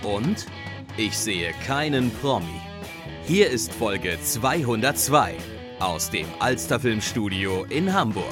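A man opening a TV show as its host, announcing slowly. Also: lowish voice, very animated. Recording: voice over loud guitar music.

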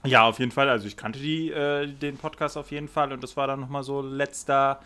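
A man of middling pitch, visiting a podcast as a guest. He chats in a friendly, easygoing way about his own show. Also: fairly even intonation, fairly quick, sharp tone, clear, resonant tone. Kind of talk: casual podcast chat.

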